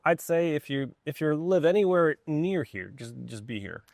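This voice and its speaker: A man's voice: on the high side, very animated, moderate pace, vocal fry, darkish tone, no echo, clean studio sound. A man from Poland speaking as an interview guest in a casual, enthusiastic and informal way about his work as a music director.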